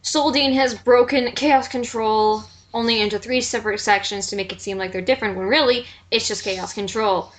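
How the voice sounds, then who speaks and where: A woman's voice: on the high side, steady tone, normal speed, hardly any pauses. A woman chatting casually and mockingly as a commentator in a group fan critique. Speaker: commentator in a group dramatic reading.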